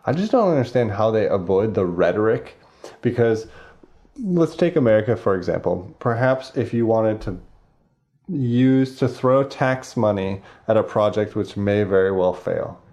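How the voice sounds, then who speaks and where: Gravelly low-voiced man, opinionated host. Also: lively intonation, normal speed, a little mellow, muffled recording. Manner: emphatic, opinionated, wry. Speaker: podcast host. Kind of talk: casual podcast conversation.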